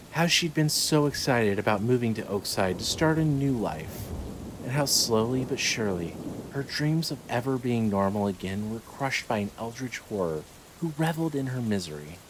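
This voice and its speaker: A man reading scripted dramatic fiction as narrator, in a measured, descriptive storytelling manner. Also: mid-range voice, big pitch swings, slight background noise, rough recording.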